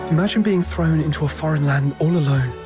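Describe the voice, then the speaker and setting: A man's voice: fairly high pitch, fast talker, music in the background, close to an everyday voice. A man voicing an earnest, caring and impulsive young hero in a video game. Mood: heartfelt. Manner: earnest, intent.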